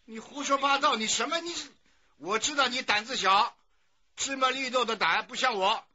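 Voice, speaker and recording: Fussy old grandpa in a radio play, high sharp voice, blustery, kinda muffled audio. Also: male voice, normal speed, a crowd in the background. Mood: scolding, demanding. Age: older. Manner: loud, blustering, repetitive questioning. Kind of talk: Chinese radio drama acting. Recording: dull lo-fi audio with music.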